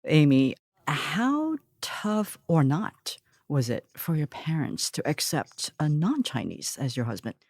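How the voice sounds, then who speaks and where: A woman's voice: low voice, big pitch swings, takes it slowly, few pauses, darkish tone, no room sound, clean studio sound. A woman hosting an interview, asking questions and reacting with short remarks. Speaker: interview host.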